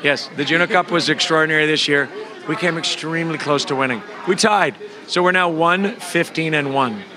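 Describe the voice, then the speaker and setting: Brief: playful male musician guest, high voice, loud crowd around him. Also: brisk. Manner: playful, teasing. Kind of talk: joking backstage interview. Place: busy backstage lounge.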